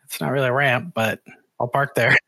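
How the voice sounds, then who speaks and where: A Canadian man hosting a casual podcast interview. His voice is bright and mid-pitched, recorded dry and close. He talks in a chatty, rambling way, telling things like stories. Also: a little slow, vocal fry, slightly rough, no room sound. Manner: chatty, rambling, animated storytelling. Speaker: podcast host.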